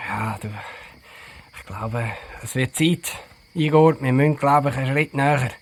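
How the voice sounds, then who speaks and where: A man playing a boastful, excitable and jumpy police detective with a raspy, creaky voice and a Swiss German accent in a comic audio play. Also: mid-range voice, a little slow, lightly acted. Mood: hushed, tense.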